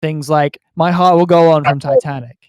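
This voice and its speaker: A man with a fairly high voice talks casually as a podcast co-host. The sound is dry and close, and his delivery is loose, conversational banter among friends. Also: fairly even intonation, moderate pace, smooth, no echo.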